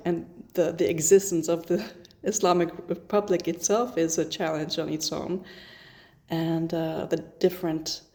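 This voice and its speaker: Soft-toned woman, reflective and searching. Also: on the low side. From Iran, raised in the Netherlands. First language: Dutch. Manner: thoughtful, with many restarts and fillers. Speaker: author. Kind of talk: casual self-introduction to camera.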